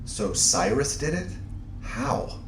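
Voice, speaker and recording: An actor playing a stern but uneasy male spaceship captain in an audio drama, earnest and halting in his delivery. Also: medium pitch, sing-song. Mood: wary, puzzled. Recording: roomy echo, faint background noise.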